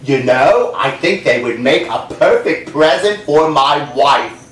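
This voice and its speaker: A man's voice: on the high side, expressive, slow pace, a bit bright. A man voicing a proud, dramatic trickster spider from a folk tale, in a voice close to his own. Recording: roomy, distant mic, faint noise.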